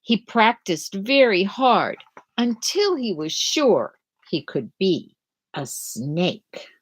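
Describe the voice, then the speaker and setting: A woman reading a picture book aloud to young children, with dramatic, deliberate phrasing and questions to the listeners. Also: medium pitch, sing-song, husky.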